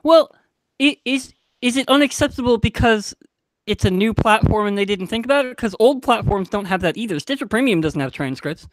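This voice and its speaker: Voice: medium pitch, animated, fairly quick, no echo. A man speaking as a panelist in a casual discussion, frank and informal with a dry turn of phrase. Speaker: podcast panelist.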